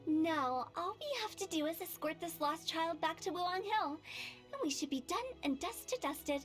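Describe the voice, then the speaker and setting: A woman's voice: very high-pitched, normal speed, fairly bright tone, soft background music. A woman voicing a playful, cryptic young female funeral parlor director in a video game, theatrical, teasing and commanding. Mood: brisk.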